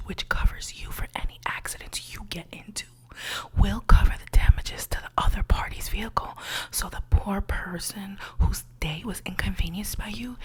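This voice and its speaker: A woman's voice: medium pitch, husky. A woman playing a condescending female insurance claims agent in an ASMR roleplay, whispering in a patronizing way and calling the listener sweetie and honey.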